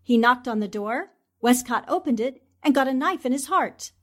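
Confident female reporter-detective played by a woman, higher-pitched, deliberate delivery, vintage radio mystery. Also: lively intonation. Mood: intent, explaining. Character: sharp, confident newspaperwoman sleuth explaining a murder. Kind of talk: 1940s-style radio drama acting.

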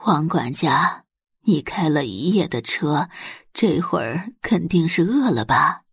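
A woman voicing a kindly, humble old village grandmother in an audiobook, gentle and doting, with a breathy voice. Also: low voice, animated, no echo, full character voice. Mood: warm, fussing. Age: older. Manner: slow, fussing, gentle. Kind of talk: audiobook character acting.

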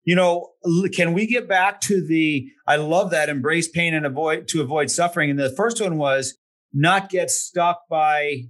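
A man's voice: on the high side, normal speed, fairly bright tone. A man hosting a conversational interview, thoughtful and affirming, often answering with short acknowledgements. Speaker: host.

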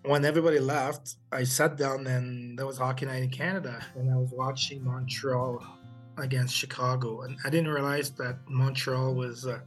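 A middle-aged former hockey player from Haiti, raised in Quebec, telling stories in an interview with many pauses and restarts. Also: male voice, medium pitch, little pitch movement, soft background music. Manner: halting, many fillers and restarts. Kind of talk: interview storytelling.

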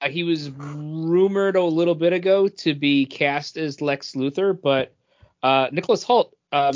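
A man co-hosting a casual entertainment news chat, halting as he searches for words. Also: a little high. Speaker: podcast co-host presenting news. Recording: dull lo-fi sound.

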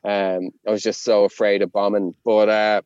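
A man's voice: fairly low pitch, level tone, moderate pace, a little mellow, clear, resonant tone, no room sound. Chatty man, dull dry sound. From Connemara, Ireland. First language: Irish. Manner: rambling, self-deprecating, chatty with many fillers. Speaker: rapper, interview guest. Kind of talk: casual storytelling.

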